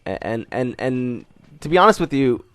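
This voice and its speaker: A man's voice: mid-range voice, very animated, normal speed. A young man giving a casual channel update, rambling with many fillers and restarts while still getting over a cold. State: recovering from a cold. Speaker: gaming channel host.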